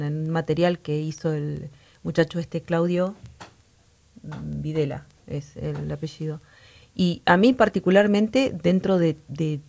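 A woman's voice: on the low side, darkish tone. A woman, agreeing often and talking about local music in a casual chat. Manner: agreeable, frequent affirmations.

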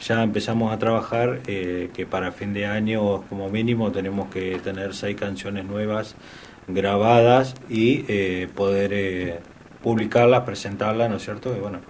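A low-voiced man from southern Patagonia with an Argentine Spanish accent, speaking as a radio guest. He talks in a formal, halting way, filling pauses often. Faint noise sits behind him. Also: fairly even intonation, a little mellow. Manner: halting, many fillers, formal. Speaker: guest musician. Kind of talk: radio interview chat.

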